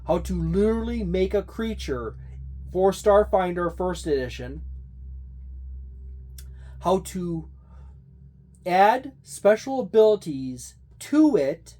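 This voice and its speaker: A man's voice: sits high, animated, slow pace, long pauses, warm tone. A man giving an unscripted review of a tabletop game book, meandering and thinking aloud as he goes.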